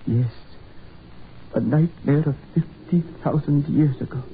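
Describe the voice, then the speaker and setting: An injured man gripped by awe and dread in a 1940s radio thriller. His voice sits fairly high, with formal, halting, theatrical phrasing. The old recording is tinny and lightly hissy. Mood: awed, grave. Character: injured foreign man, agitated, awestruck and insistent. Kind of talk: 1940s radio horror acting.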